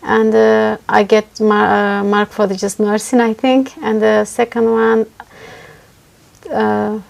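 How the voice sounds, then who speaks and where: Gravelly-voiced Turkish woman, hesitant. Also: medium pitch, level tone, slow pace, darkish tone. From Turkey. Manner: hesitant, frequent uh fillers, simple grammar. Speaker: test candidate. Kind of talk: spoken exam answers.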